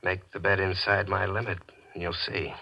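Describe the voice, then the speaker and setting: An American man acting in a 1940s radio drama as a bitter small-time gambler, telling his story in a tense, deliberate, dramatic way. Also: low voice, level tone, creaky, husky, heavily acted. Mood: resentful. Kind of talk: 1940s radio drama acting, first-person suspense narration. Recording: muffled old radio recording. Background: dramatic music.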